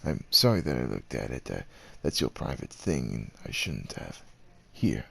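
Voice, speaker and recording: Man voicing a very deep gravelly devoted werewolf suitor. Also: big pitch swings, normal speed, fairly bright tone, heavily acted. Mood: curious, sheepish, then tender. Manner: hesitant, tender, old-fashioned courtly phrasing with tag questions. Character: devoted, gentle, nervously jealous male werewolf suitor. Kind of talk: ASMR roleplay, acted monologue addressed to the listener.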